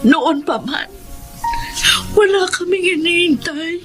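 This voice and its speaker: A woman's voice: very high voice, lively intonation, takes it slowly, faint music behind, exaggerated voice. An actress playing a long-suffering, wronged wife and mother in a Filipino radio drama, tearful and pleading, with a Filipino accent. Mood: gently forgiving. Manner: tearful, emotional delivery.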